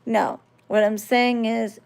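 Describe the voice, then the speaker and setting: American woman just talking to her viewers, slow and kinda gravelly, keeps asking if it makes sense. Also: a little high, little pitch movement, darkish tone. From United States. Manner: conversational, checks listeners understand. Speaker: spiritual channel host. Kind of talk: casual talk to viewers.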